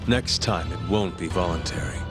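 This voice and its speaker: A man's voice: sits low, normal speed, music in the background, lightly acted. A man playing a hard-nosed police detective in a video game drama, curt, contemptuous and menacing. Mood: threatening. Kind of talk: English-dubbed video game drama acting.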